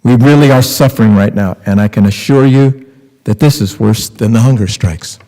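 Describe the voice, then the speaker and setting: Mellow older man reading in echoey hall. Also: fairly low pitch, moderate pace. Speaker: readers theater performer. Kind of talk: scripted reading of testimony. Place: temple sanctuary at a lectern. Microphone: boom mic on a stand at lectern. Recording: echoey hall with long reverb.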